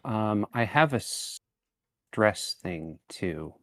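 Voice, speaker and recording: A man's voice: on the low side, lively intonation, extremely slow, a bit bright, no room sound, clean studio sound. A man chatting casually as a player in a tabletop roleplaying game, asking questions about his moves.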